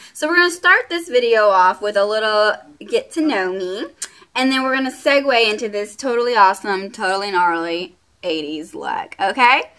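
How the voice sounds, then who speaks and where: A woman in her late twenties, born in Puerto Rico and raised in Texas, chats to the camera at home. Her voice is bright and crisp, sitting at a middle pitch. She rambles freely, stopping often on "um" and "what else.". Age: late 20s. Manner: chatty and rambling, with frequent fillers. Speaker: beauty vlogger. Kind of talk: casual get-to-know-me vlog.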